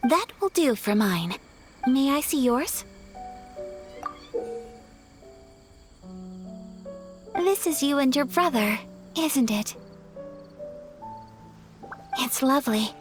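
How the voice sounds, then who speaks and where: A woman voicing a polite, refined, earnest young noblewoman in a video game, soft and formal. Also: high voice, expressive, slow pace, pauses often. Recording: studio voice under game music.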